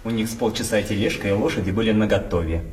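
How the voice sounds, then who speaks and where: An actor playing a deferential servant in a stage comedy, speaking in a plain, matter-of-fact way. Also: male voice, fairly low pitch, fast, darkish tone, close to an everyday voice. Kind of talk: classic Russian stage comedy acting. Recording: echoey, distant stage sound.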